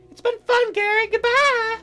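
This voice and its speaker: A woman's voice: very high-pitched, fairly even intonation, moderate pace, sharp tone, voice pushed up. A woman voicing a playful, teasing young fairy girl in a visual novel, with a girlish, sing-song delivery. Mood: fond. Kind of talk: visual novel character read in a let's play.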